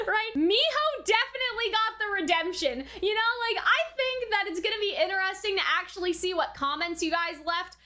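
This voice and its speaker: Bright, high-pitched woman reviewer. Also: lively intonation, moderate pace. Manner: chatty, often checking with "right?". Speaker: host of an anime reaction and review channel. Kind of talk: casual commentary and episode recap.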